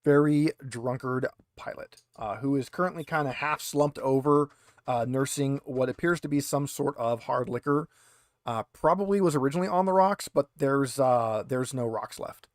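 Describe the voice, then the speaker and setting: A man's voice: medium pitch, moderate pace, no room sound, clean studio sound. A man running a tabletop roleplaying game, narrating scenes in a casual, conversational way. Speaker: game master.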